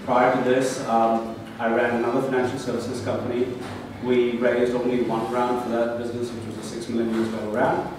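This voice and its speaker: A man introduces himself as a startup founder on a live panel, clear and factual. He is picked up from across the room, where the sound bounces around. A background noise runs steadily beneath him. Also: mid-range voice, little pitch movement, brisk. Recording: echoey room, distant mic, background noise.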